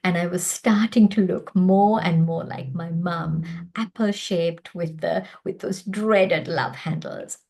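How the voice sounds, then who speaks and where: A woman in her fifties from Sri Lanka speaks in a relaxed, warm tone that sits a little low. She is a doctor delivering a prepared personal talk. Her delivery is measured and earnest. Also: vocal fry. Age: 50s. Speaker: doctor and presenter.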